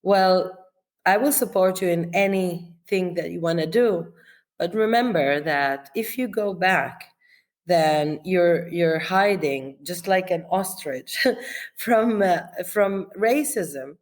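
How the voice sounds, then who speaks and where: Interview guest: woman, lower pitch, slow and thoughtful, laughs easily. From Israel. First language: Arabic. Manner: reflective, slow, laughs often, many restarts and fillers. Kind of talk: relaxed conversational interview.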